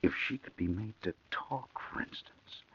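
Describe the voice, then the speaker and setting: An actor playing a sly, confident convict in a gravelly, husky voice, in the style of 1940s radio crime drama. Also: male voice, deep voice, moderate pace. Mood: sly, confiding. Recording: muffled old radio recording.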